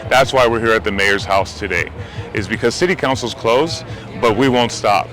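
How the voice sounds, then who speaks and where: A man's voice: on the low side, moderate pace, a bit bright, soft background music. A determined man protester interviewed outdoors on a city street. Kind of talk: interview at a rally.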